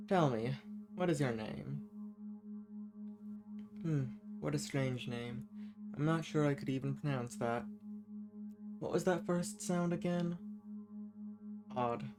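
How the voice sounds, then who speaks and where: A man's voice: mid-range voice, natural voice. A man voicing a banished ancient villain in an audio roleplay, world-weary, menacing and flirtatious, musing theatrically in formal wording to the listener. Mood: curious, wry. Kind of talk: scripted audio roleplay, intimate monologue to the listener.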